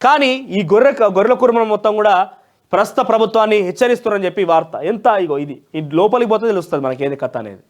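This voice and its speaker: High-pitched Telangana Telugu male news presenter. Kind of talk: newspaper review with opinionated commentary.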